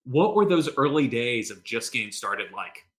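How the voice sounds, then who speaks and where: A man's voice: mid-range voice, expressive, normal speed, a bit bright. A man hosting a business podcast, reading an upbeat intro and then asking friendly questions. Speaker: podcast host.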